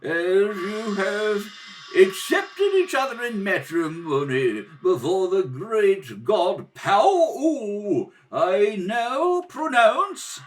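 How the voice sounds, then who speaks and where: A man voicing a pompous, ceremonious alien high priest in an old radio serial style. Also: sing-song, drawn-out words, recorded from a distance, heavily acted. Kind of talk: 1930s radio serial acting.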